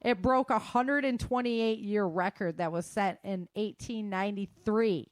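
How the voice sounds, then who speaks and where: A woman's voice: medium pitch, unhurried, no echo. A woman hosting a show, running through news in an informal, slangy way with chatty asides. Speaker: host of a survival news show.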